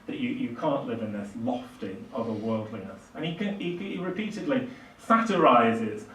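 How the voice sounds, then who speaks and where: An older man giving a public lecture, speaking in a hesitant, halting way with reflective pauses. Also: medium pitch, fairly bright tone. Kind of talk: public lecture on literature and music. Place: small indoor lecture room, at a lectern. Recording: roomy, distant microphone, faint hiss.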